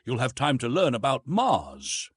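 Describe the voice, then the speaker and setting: A man reading the part of an aging archaeologist, reflective and gentle, his voice a little husky. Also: medium pitch, huge pitch swings, unhurried, dry recording, studio quality, heavily acted. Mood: resigned. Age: older. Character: aging male scholar, weary and resigned.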